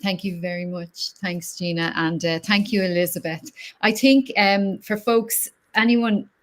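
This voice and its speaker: A middle-aged woman hosts a live online chat and greets viewers by name in a chatty, friendly way. Her voice sits at an ordinary pitch for a woman. She speaks through a video call microphone. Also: measured pace. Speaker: host. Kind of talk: hosting a LinkedIn live chat.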